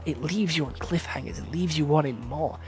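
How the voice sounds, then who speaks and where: A man giving a casual, rambling series review. He swears often, gets excited, and stumbles over words at times when he talks fast. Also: fairly high pitch, soft background music.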